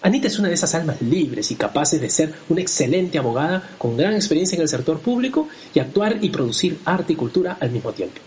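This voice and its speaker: A man's voice: a little high, animated, quick pace, hardly any pauses, darkish tone, a little hiss or hum. A man host and director introducing a radio play in a heartfelt, scripted way.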